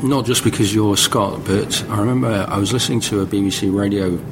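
A man's voice: fairly low pitch, steady tone, fairly quick, music in the background. A man in his forties from the Netherlands, an author thinking aloud as he tells anecdotes in a reflective interview. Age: 40s.

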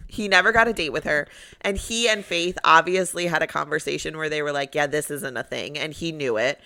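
A woman's voice: low voice, a little fast, gravelly, pushed and bright, low-quality recording. A young woman co-hosting a podcast, chatting casually and laughing easily as she explains her points. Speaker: podcast co-host. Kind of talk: casual chat recapping a reality show.

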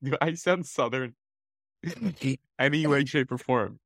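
Gravelly-voiced woman, blunt podcast quips. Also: extremely low pitch, slow pace, no echo, studio quality. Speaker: podcast co-host. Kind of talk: casual chat.

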